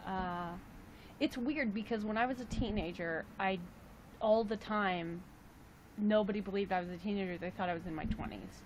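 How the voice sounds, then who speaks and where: Late-twenties woman livestreamer, chatty and jokey, mid-pitched, faint room hum behind. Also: normal speed, low-quality recording. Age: 20s. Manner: chatty, jokey, with playful high exclamations. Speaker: livestream host. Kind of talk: casual livestream chat reading viewer comments.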